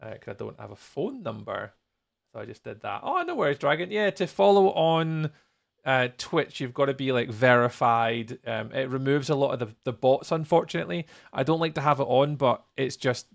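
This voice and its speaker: A man's voice: medium pitch, lively intonation, moderate pace, gravelly. A man chatting casually on a gaming livestream, thinking aloud in a playful, rambling way. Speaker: live streamer, host.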